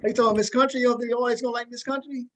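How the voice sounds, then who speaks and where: High-pitched male talk show host. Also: fairly even intonation, rapid-fire, a bit bright, slight background noise. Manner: informal, repeats phrases. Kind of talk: casual interviewing.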